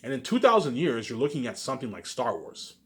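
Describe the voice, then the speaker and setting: Fast-talking man, boastful and repetitive. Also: medium pitch, sing-song, fairly bright tone. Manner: repetitive, circling back over the same phrases; boastful, self-aggrandizing. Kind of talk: rambling informal monologue to viewers.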